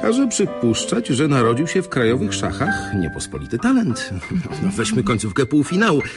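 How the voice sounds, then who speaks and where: Keen chess journalist in a radio play, lively mid-pitched voice, gushes and stammers, music behind. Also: male voice, normal speed. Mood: gushing. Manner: gushing, stammering when put off. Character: eager, gushing male chess-portal reporter, easily flustered. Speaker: interviewer. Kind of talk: radio drama acting.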